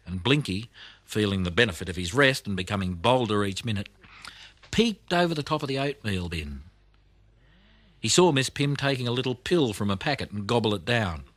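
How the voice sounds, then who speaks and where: A man reading a children's audiobook as narrator, telling the story expressively with deliberate emphasis. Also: medium pitch, a bit bright, no echo.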